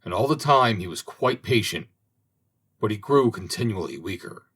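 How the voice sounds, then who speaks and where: A man reading an old fairy tale aloud as an audiobook narrator, in a slow, expressive manner. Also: lowish voice, slightly rough. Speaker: audiobook reader. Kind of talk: storybook narration.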